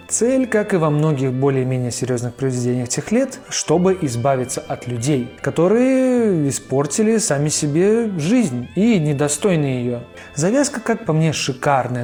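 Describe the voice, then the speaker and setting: A man's voice: fairly high pitch, a little mellow. A man narrating a casual cartoon review in a chatty, slangy, opinionated way. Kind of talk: casual video-essay narration.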